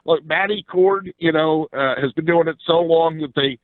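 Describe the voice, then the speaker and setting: A man's voice: a little high, moderate pace, no echo, narrow, tinny audio. An American man chatting casually and bluntly about sports as an opinionated radio guest. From Philadelphia area, USA.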